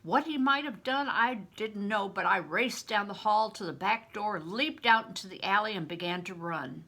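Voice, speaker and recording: An older woman narrates a book with emphatic, deliberate expression. Her voice is bright but husky, with a touch of gravel in it. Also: medium pitch. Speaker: storybook reader, retired reading teacher. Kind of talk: expressive scripted audiobook narration.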